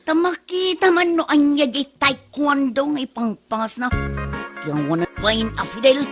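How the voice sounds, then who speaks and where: A woman's voice: sits high, moderate pace, music in the background, close to an everyday voice. A woman with an Ilocano accent playing a blunt, nagging, easily affronted woman in a comedy radio drama. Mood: challenging.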